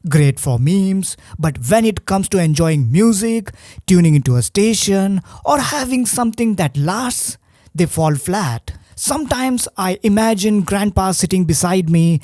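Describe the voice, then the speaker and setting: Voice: medium pitch, warm tone, no room sound, studio quality. A presenter narrating a scripted video review, with a wry, rhetorical manner.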